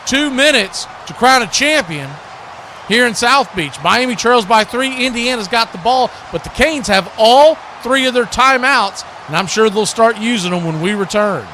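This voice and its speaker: A man with an American accent doing excitable live play-by-play commentary of American football. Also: very high voice, moderate pace. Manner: excitable, calling action as it happens. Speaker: sports play-by-play announcer. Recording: clear background noise.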